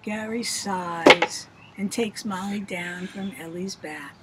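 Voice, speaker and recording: An older woman telling a children's story in an expressive, deliberate, dramatic way. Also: medium pitch. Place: shady outdoor bench. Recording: faint outdoor background noise.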